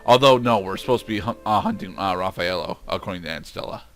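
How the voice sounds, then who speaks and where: A man giving casual game commentary in a halting, hesitant way. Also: sits low, fairly bright tone, faint music behind. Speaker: let's play co-commentator.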